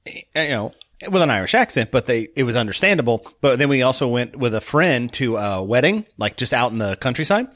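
A man's voice: mid-range voice, big pitch swings, brisk, fairly bright tone. A man from Tennessee with a mild American Southern accent, hosting a casual podcast chat. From Tennessee, USA. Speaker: podcast host.

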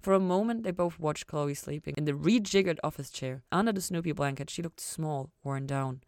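A woman's voice: sits low, fairly quick, a little mellow, dry recording, studio quality. A woman reading fan fiction aloud in audiobook-style narration.

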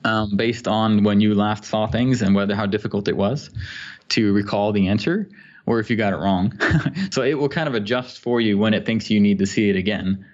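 A man with a fairly low voice chats casually as a podcast guest. He explains things informally in a tech conversation. The audio is somewhat dull and lo-fi. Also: moderate pace. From Sweden.

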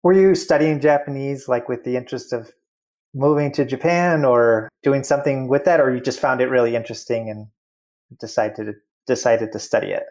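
A man hosting an interview segment, chatty and friendly, full of fillers and quick follow-up questions. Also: mid-range voice, a little mellow. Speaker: interview host. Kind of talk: casual interview.

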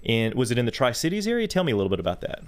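A man's voice: mid-range voice, sing-song, racing. A man hosting a studio talk show on faith, speaking in an emphatic, preacher-like way. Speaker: host.

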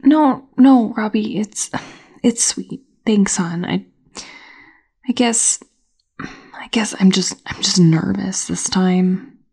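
Nervous young woman in audio drama, slow n hesitant, gravelly fry, lots of sighing and half whispers. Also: medium pitch, warm tone. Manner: hesitant, halting, breathy sighs and whispers. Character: anxious, searching young woman confiding to a recorder. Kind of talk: naturalistic found-footage audio drama acting.

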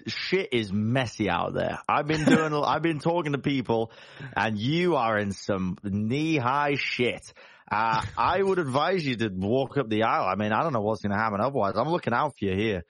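A man's voice: mid-range voice, animated, normal speed, fairly bright tone, no room sound. A man voicing a brash, boastful male warrior who blusters and stumbles over his words. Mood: confiding.

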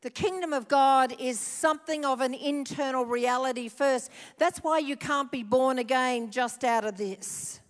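A woman's voice: high voice, unhurried, hardly any pauses. A woman pastor preaching a sermon, emphatic, repeating her phrases for effect. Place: church hall. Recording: long hall reverb.

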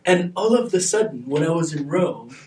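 Brief: young man from Albania, chatty panelist, slightly high voice, heard from a distance in an echoey room. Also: normal speed, slight background noise. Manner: animated, grows excited when telling stories. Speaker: podcast panelist. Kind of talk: casual chat. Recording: roomy, off-mic sound.

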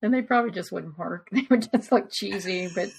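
A woman's voice: medium pitch, brisk, creaky, a little hiss or hum. A woman talking casually and playfully as a podcast panelist, with a wry touch. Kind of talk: casual chat.

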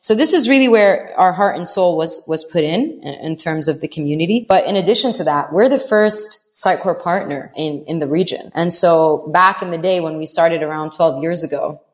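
A woman's voice: lowish voice, normal speed, few pauses. A woman from the Middle East, a company CEO, answering interview questions fluently, often restarting and repeating words. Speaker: podcast guest, company CEO.

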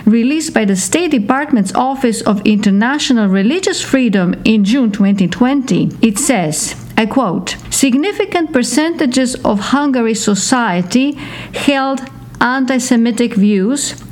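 A woman's voice: a little high, animated, measured pace, darkish tone. A woman interviewing a guest in a formal manner, reading a quote aloud before posing a question. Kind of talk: interview hosting.

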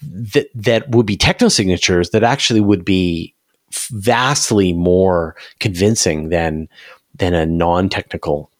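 A man's voice: deep voice, a little slow, no room sound, studio quality. A man talking enthusiastically and emphatically in a conversational science discussion, as an interview guest.

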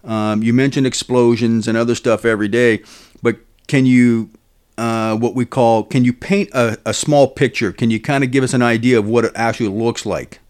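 A man's voice: mid-range voice, steady tone, moderate pace, a little mellow. A man hosting a podcast, asking long, rambling questions full of fillers. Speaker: podcast host. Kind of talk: casual podcast interview.

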